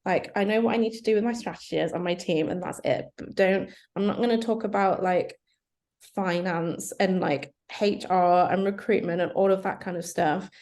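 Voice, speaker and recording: A woman's voice: on the low side, steady tone, moderate pace, a little mellow. A young woman guest on a podcast chat, talking candidly and conversationally about her work. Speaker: guest, head of SEO. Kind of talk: casual online chat.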